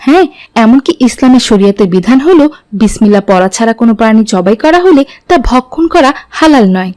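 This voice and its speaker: A woman playing a devout, gentle mother in an Islamic cartoon, speaking in a measured, instructive way. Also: a little high, expressive, natural voice. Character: devout, gentle mother guiding her children.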